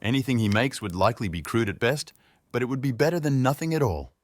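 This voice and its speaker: A man voicing a tall, gentle crooked man who talks in a measured, dryly joking way. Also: medium pitch, lively intonation, slight background noise, voice pushed up, lightly acted. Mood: wry.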